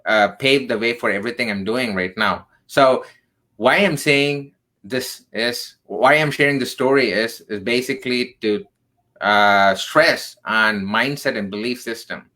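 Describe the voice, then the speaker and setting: A man's voice: medium pitch, measured pace, sharp tone. A man from southern India in his thirties, a tech career coach telling his story in an earnest, emphatic way, tagging points with "right?". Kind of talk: radio interview storytelling.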